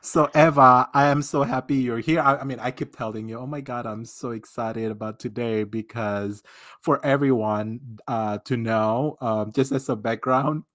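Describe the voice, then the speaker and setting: A man hosting a casual podcast, rambling warmly with many fillers, restarts and laughs. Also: medium pitch, fairly even intonation, moderate pace. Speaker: podcast host.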